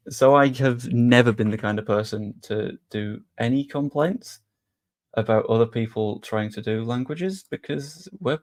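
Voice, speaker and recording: A man's voice: medium pitch, creaky. A young Englishman chatting easily on a live stream, answering viewer questions with loose British slang. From England. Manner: chatty and easygoing, with frequent fillers and British slang.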